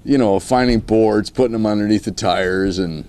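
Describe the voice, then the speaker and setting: Man, Canadian accent, low pitch, mellow, folksy casual storyteller. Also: moderate pace, clean tone. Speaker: colleague giving a tribute. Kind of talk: casual anecdote.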